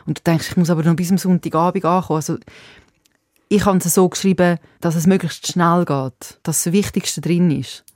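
A woman's voice: fairly low pitch, steady tone, brisk, darkish tone, no room sound, studio quality. A woman in her late thirties with a Swiss German accent, a native Serbo-Croatian speaker, talking thoughtfully about her writing with frequent restarts. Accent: Swiss German, Zurich/Winterthur. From Switzerland. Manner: thoughtful, self-questioning with restarts. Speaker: author interviewed. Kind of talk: reflective casual talk.

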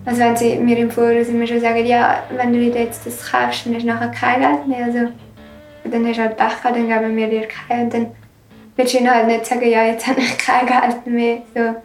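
A woman's voice: a little high, completely flat delivery, brisk, roomy sound, distant mic, faint music behind. A teenage girl with a Swiss German accent talks casually and reflectively in an interview, searching for words.

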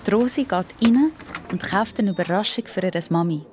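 A woman's voice: mid-range voice, moderate pace, a little mellow, a little hiss or hum. A woman with a Swiss accent narrating a scripted children's story as part of a radio play.

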